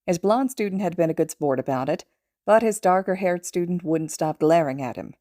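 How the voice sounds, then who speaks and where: A woman's voice: on the low side, lively intonation, brisk, dark tone, dry recording, clean studio sound. A woman reading a story aloud as a scripted narrator. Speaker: podfic narrator.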